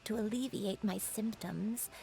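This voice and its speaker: A woman voicing a frail, gentle, forgetful old granny in a video game, soft and halting, with an apologetic, wistful manner. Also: medium pitch, creaky, slight background noise. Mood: wistful, sorrowful.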